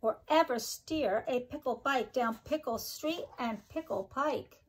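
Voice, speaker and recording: Slow-paced woman reading to children. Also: high voice, low-quality recording. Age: middle-aged. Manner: slow, deliberate, sing-song delivery addressed to young children. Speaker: teacher reading a picture book aloud to children. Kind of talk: expressive children's read-aloud with chatty asides. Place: kitchen-like room at home, indoors.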